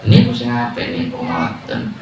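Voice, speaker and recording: Animated preacher with a Javanese accent. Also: male voice, normal speed, crowd noise. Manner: animated, swings between loud calls and low asides, mixes in jokes. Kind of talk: sermon at a village religious gathering. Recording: echoey PA sound from far off.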